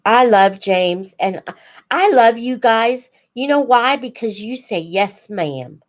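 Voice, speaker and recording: Slow-talking, folksy older woman. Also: fairly high pitch, expressive. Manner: unhurried, reflective, folksy. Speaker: host of a children's book read-aloud channel. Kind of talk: casual live Q&A chat with young viewers.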